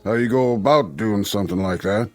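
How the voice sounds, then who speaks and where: An American actor in a radio drama playing a laconic, skeptical Old West sheriff with a deliberate, dry manner. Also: male voice, lowish voice, darkish tone, faint music behind, lightly acted.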